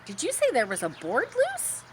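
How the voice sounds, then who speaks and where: A woman's voice: on the high side, huge pitch swings, normal speed, slight background noise, pitched above the performer's own voice. A woman voicing a timid, naive young male pig in a storybook, hesitant and wondering. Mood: puzzled.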